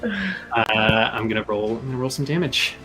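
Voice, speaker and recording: A man improvising tabletop game narration as game master, telling the scene in a halting, descriptive way. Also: mid-range voice, lively intonation, fairly bright tone, rough recording. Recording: music behind the voice. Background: music.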